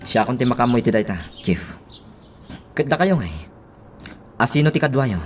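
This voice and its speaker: A man's voice: medium pitch, lively intonation, normal speed, a little hiss or hum, close to an everyday voice. A man with an Ilocano Filipino accent playing an eager, questioning junior police officer in a radio drama. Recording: light music bed behind the voice.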